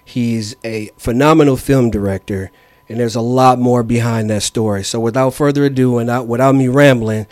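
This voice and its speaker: A man hosting a podcast, speaking with a hoarse voice and measured, slow introductions. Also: medium pitch, steady tone, a little mellow, no echo. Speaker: podcast host. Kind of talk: casual interview hosting.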